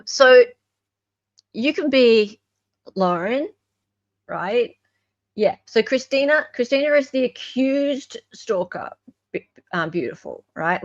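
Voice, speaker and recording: An Australian woman hosting a casual live commentary, chatting with dramatic pauses, emphasis and rhetorical questions. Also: medium pitch, no room sound, lo-fi sound. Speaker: livestream host commentator.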